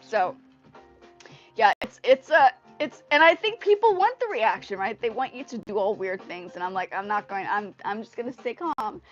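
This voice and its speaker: A woman from Indonesia, a native Indonesian speaker, hosting a casual livestream in a rambling, animated way, often repeating her phrases. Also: medium pitch, moderate pace, soft background music. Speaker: livestream host. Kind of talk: casual livestream chat with viewers. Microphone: earpiece mic on a phone. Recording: dull lo-fi livestream audio through earpiece mic.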